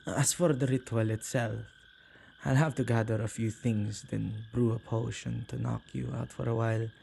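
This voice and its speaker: A tender, protective male witch, acted by a man, speaks in a soft, intimate ASMR roleplay style. His voice is mellow and slightly halting, with gravelly fry. Some background ambience sits behind him. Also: lowish voice, lively intonation, a little hiss or hum. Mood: tender, calm. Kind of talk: ASMR boyfriend roleplay acting.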